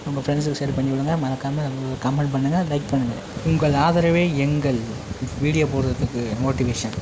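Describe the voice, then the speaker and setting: A man's voice: medium pitch, fairly even intonation, fairly quick. A man narrating a casual how-to tutorial as an informal channel host, speaking directly to viewers. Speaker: host of a DIY electronics channel. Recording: clearly noisy amateur recording.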